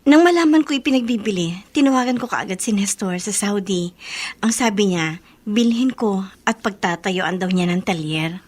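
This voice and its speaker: A woman's voice: medium pitch, moderate pace, darkish tone, natural voice. An actress plays a troubled Filipino wife in a radio drama. Her mid-range voice is polite and hesitant, as a guarded daughter answering carefully. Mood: subdued, hesitant.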